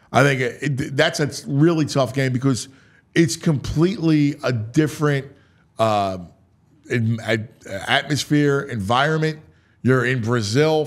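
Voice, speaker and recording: A man hosting a sports talk show, mixing dramatic, drawn-out delivery with casual, offhand asides. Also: mid-range voice.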